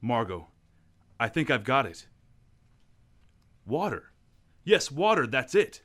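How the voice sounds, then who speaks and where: An actor playing a calm, thoughtful gentleman detective in a radio drama. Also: medium pitch, full character voice. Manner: measured and thoughtful.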